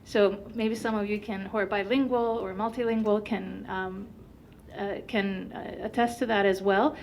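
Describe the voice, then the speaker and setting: A woman whose first language is Turkish, giving a conference presentation in an animated way with many fillers. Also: medium pitch, moderate pace, slight background noise, poor audio.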